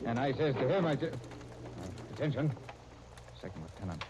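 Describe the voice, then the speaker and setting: An actor playing an eager, deferential, talkative Scottish private in a 1930s radio serial. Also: male voice, medium pitch, sing-song, normal speed, close to an everyday voice. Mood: boastful storytelling. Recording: noisy 1939 radio transcription disc.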